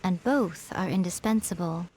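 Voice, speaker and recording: Actress as a sly, self-assured priestess. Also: female voice, fairly low pitch, moderate pace, over music, close to an everyday voice. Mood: sincere. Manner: playful, knowing, elegant. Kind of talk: video game voice acting.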